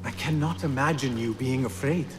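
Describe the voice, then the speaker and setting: A man's voice: mid-range voice, normal speed, over music, close to an everyday voice. A man playing an earnest, respectful young assassin initiate in a video game, speaking formally. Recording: game ambience noise behind.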